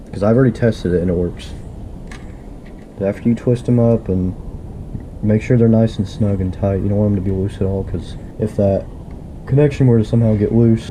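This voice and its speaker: A man casually walking through a do-it-yourself wiring job, relaxed and rambling with plenty of fillers. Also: lowish voice, background noise. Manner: laid-back, rambling, filler-heavy explaining. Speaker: DIY video host. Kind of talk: casual how-to walkthrough. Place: inside a pickup truck and around it, at night.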